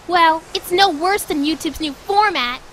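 A woman's voice: extremely high pitch, unhurried, a bit bright, slight background noise. A woman voicing a meek, apologetic young adventurer in a fan-made parody dub.

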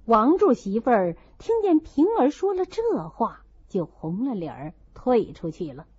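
High-voiced woman narrator, mellow. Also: sing-song, a little slow, husky. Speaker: audiobook narrator. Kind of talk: expressive literary storytelling, character voices. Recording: dull lo-fi dry recording.

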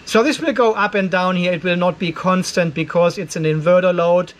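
High-pitched middle-aged man explaining tech. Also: steady tone, brisk. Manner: conversational, thinking aloud as he works. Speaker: host of an off-grid solar video channel. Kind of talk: casual hands-on tech demonstration, explaining aloud. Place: indoor garage workshop.

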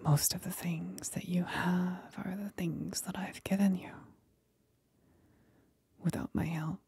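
A woman playing a sly, condescending demon companion in an ASMR roleplay, speaking in a husky, breathy whisper with slow, teasing deliberation. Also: lowish voice, fairly even intonation, a little mellow, no echo, full character voice. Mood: cruel, taunting.

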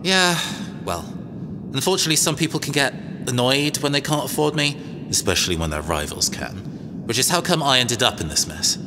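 A man's voice: medium pitch, expressive, brisk, in a large hall, background noise, close to an everyday voice. A man playing a cagey, self-assured robot engineer mercenary in an audio drama, wry, conversational and hesitant. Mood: wry, recounting.

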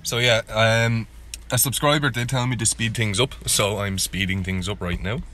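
A man's voice: fairly low pitch, steady tone, moderate pace, background noise. A man giving casual live gameplay commentary in a self-deprecating way. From Ireland. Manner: self-deprecating, repeats words when flustered. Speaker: gaming video host.

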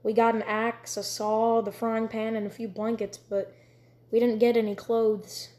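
A woman's voice: on the high side, level tone, a little slow, darkish tone, close to an everyday voice. A woman voicing a worn, frightened frontier wife who talks in plain, earnest country speech.

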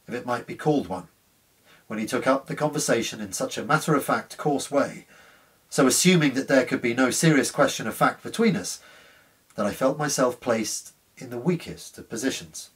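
A man in his fifties narrates a literary story with measured, earnest expression. His voice sits at a middle pitch. He is recorded at home, a little way from the microphone. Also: husky. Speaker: actor reading a short story. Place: small living room at home. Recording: slightly distant room microphone.

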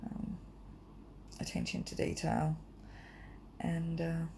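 Lady w/ a croaky hay fever voice, rambly art lesson, lots of ums, bit of faint hiss. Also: low voice, slow. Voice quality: croaky, gravelly. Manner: relaxed and rambling, many ums. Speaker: art teacher. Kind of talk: casual drawing tutorial voice-over.

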